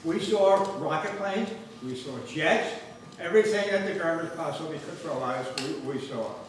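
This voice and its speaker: Older male veteran, halting and soft-trailing, distant in an echoing hall with faint noise. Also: animated. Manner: halting, repeating words, voice dropping low. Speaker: veteran speaker. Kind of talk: personal war memories at a ceremony. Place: grange hall. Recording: echoey hall, voice from far off.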